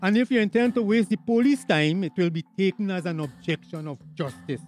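An actor playing a stern, easily exasperated village police officer in a radio drama, formal and officious, with a Tobagonian accent. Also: male voice, sits high, fairly quick, darkish tone, faint music behind, lightly acted.

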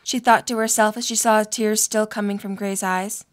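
A woman's voice: mid-range voice, level tone, moderate pace. A young adult woman reading scripted story narration for an audio drama.

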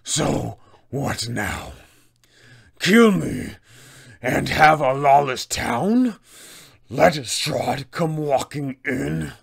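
A man's voice: medium pitch, expressive, extremely slow, creaky, pauses often, husky, voice pushed up, exaggerated voice. A man voicing a big, menacing villain enforcer from a tabletop game, threatening and commanding in his delivery. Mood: defeated defiance. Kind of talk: acted tabletop villain dialogue.

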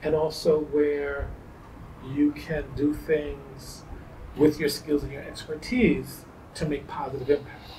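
A middle-aged man being interviewed, speaking in emphatic, drawn-out phrases. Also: a little high, flat delivery, roomy sound, far from the mic, noisy background. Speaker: interview guest. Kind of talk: reflective interview talk. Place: indoors against a pale wall.

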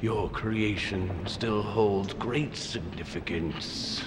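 An actor playing a dying old scientist mastermind in a video game, bitter and grandiose, delivering a slow theatrical monologue. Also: male voice, on the low side, noisy background. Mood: solemn, proud. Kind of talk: video game villain acting. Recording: long cavernous reverb, loud music behind. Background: loud game music.

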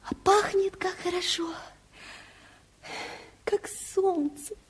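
An actress playing an excited, trusting little girl with a childlike, wondering manner in a 1970s radio drama. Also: extremely high pitch, takes it slowly, whispered. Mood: delighted wonder.

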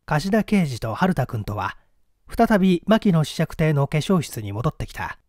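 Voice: medium pitch, very animated, normal speed, darkish tone, no echo, studio quality. A narrator reading a mystery story aloud in a dramatic scripted style.

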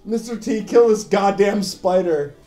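A man's voice: sits high, measured pace, mellow, soft background music. Young man, tipsy, joking, rambling game banter, echoey room. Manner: joking, rambling, laughs often. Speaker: game commentator. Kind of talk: drunk casual let's play banter.

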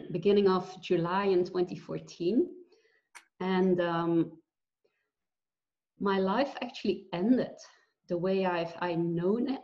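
A woman's voice: on the low side, dark tone. Earnest woman on a dull video call. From Belgium. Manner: earnest, reflective, with many pauses and fillers. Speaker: guest. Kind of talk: personal story in a video call interview. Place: bright room at home. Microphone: video call webcam.

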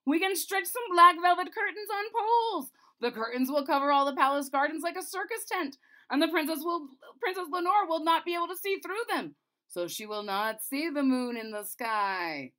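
A woman's voice: high voice, moderate pace, pushed and bright, pitched above the performer's own voice. A woman voicing an eager, self-assured male storybook wizard who declaims with emphasis.

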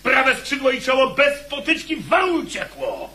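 Indignant knight, high, forceful. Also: male voice, expressive, moderate pace, full character voice. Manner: loud, declamatory. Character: indignant, scornful knight in revolt. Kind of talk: radio drama acting, archaic speech.

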